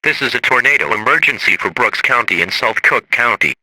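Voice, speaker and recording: Male emergency announcer, piercingly bright and bone-dry, formal automated delivery of a scripted weather alert. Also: mid-range voice, lively intonation, brisk, no echo, rough recording.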